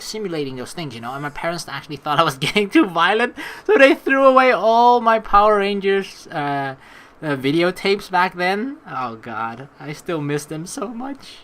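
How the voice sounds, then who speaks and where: A woman playing through a game, reading its text aloud and reacting in a chatty, expressive, giggly way. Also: low-pitched. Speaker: let's play streamer.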